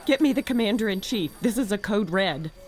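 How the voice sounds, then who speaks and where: A woman's voice: medium pitch, lively intonation, fairly quick, noisy background, natural voice. An actress playing an alarmed, assertive woman working in mission control in an audio drama.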